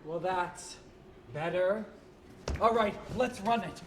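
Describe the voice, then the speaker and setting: A man's voice: high-pitched, takes it slowly, a crowd in the background. An actor playing a middle-aged ham performer, grandiloquent and imperious, declaiming as a defiant swordsman poet on stage. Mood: irritated.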